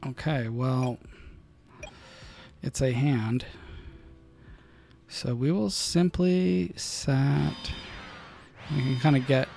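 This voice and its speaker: A man with a gravelly, fry-edged voice talks his way through a card game for his chat. He muses over each choice and bursts into loud, excited exclamations when a play surprises him. Soft music plays underneath. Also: medium pitch, extremely slow, long pauses. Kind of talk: thinking aloud while playing, talking to chat.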